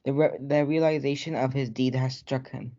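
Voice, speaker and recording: A woman's voice: a bass voice, steady tone, normal speed, mellow. A woman reading scripted narration for a student readers theatre. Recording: muffled lo-fi home recording.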